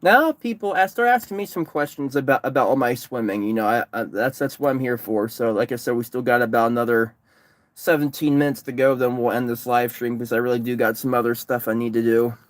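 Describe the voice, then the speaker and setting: A man's voice: mid-range voice, fairly quick, no echo. A man chatting on a livestream from his parked car, tired and sunburned, rambling and repeating himself. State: exhausted, sunburned. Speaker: livestreamer, distance swimmer. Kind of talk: casual livestream chat reading comments.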